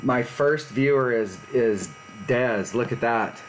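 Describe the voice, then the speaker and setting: A man's voice: mid-range voice, slow pace, slight background noise, rough recording. A man in his fifties chatting casually and rambling on a live stream from his garage. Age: 50s. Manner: rambling, laid-back, self-deprecating. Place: home garage.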